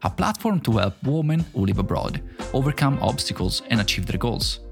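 A man's voice: lowish voice, gravelly. A man hosting a podcast, reading a scripted intro and then asking curious, self-deprecating questions in casual conversation. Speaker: podcast host.

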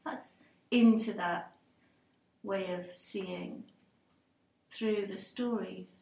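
A woman's voice: medium pitch, steady tone, extremely slow, vocal fry, darkish tone, reverberant, recorded from a distance, low-quality recording. Hesitant storyteller woman. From Wales, Cardiff. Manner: thoughtful, hesitant, many restarts. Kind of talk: reflective interview answers.

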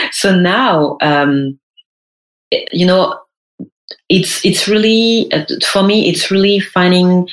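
A woman's voice: mid-range voice, extremely slow, echoey room. A woman in her thirties, a stylist talking in an interview, hesitant and full of fillers and restarts. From France. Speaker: guest, personal brand stylist. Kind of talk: casual interview chat.

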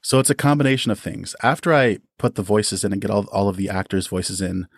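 A man's voice: on the low side, fairly quick, creaky, slightly rough, no room sound, studio quality. A man answering interview questions as a podcast guest, explaining his craft conversationally and with enthusiasm. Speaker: audio drama producer and performer, podcast guest.

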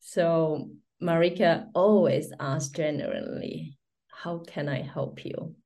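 A middle-aged woman hosting a podcast interview, admiring and quick to laugh. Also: sits low, mellow. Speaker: podcast host.

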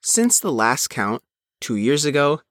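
A man's voice: medium pitch, sing-song, measured pace, a bit bright, dry recording, clean studio sound. A man reading a scripted news report as its reporter, in a narrated style. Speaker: reporter reading own written article.

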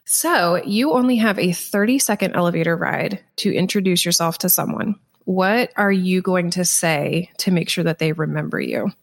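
A woman's voice: fairly low pitch, normal speed, creaky, few pauses. A woman hosting an interview podcast, presenting and putting questions to a guest.

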